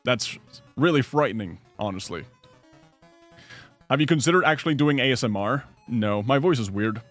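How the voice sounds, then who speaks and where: A man's voice: medium pitch, expressive, moderate pace, soft background music. A young adult man streaming from home, rambling casually through chat questions and answering his viewers. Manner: rambling, chatty, reads chat aloud and answers.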